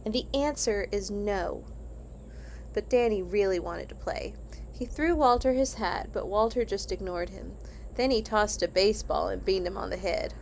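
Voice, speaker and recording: Female children's read-along narrator, animated and expressive, mid-pitched, slight background noise. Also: normal speed, creaky, rough recording. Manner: animated, acts out dialogue. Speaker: church children's worker reading aloud.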